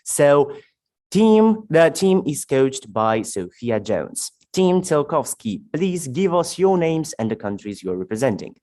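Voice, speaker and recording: Man moderating with a lively, animated pitch. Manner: measured, announcing formal introductions. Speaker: debate moderator. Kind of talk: formal moderation of an online debate.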